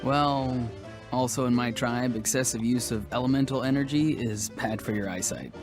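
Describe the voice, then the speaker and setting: A man's voice: medium pitch, moderate pace, over music, natural voice. Man playing a hesitant, laid-back young warrior. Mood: low-key. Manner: casual, hesitant, understated. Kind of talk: scripted video game voice acting.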